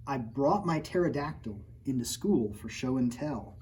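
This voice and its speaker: A man reads his own children's book aloud at storytime and keeps stopping for jokey asides, pretending to be outraged by his own plot. His voice is mellow and relaxed. A faint noise sits under the recording. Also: mid-range voice, normal speed, low-quality recording. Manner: playful, jokey, mock-outraged, talking straight to children. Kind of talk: storytime read-aloud with playful asides to kids.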